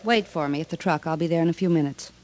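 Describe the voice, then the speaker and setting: A woman's voice: sits low, quick pace, darkish tone, a little hiss or hum, natural voice. An actress playing a wealthy young American playgirl and daredevil in a 1950s radio drama, cool, arch, worldly and flippant. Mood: firm.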